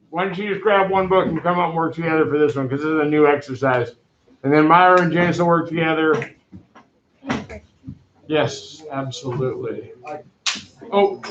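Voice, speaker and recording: A man teaching a math class in a loud, direct, brisk way, his voice sitting a little high. The sound is muffled and lo-fi, and the classroom echoes around him with a faint hiss underneath. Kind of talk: classroom instruction.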